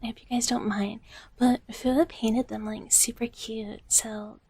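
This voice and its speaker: A woman voicing a sweet, gentle dragon big sister in live ASMR, whispering softly and haltingly and often repeating her phrases. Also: fairly high pitch, level tone. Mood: soft, reassuring. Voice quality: soft, breathy whisper. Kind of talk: whispered live ASMR roleplay, chatting with viewers.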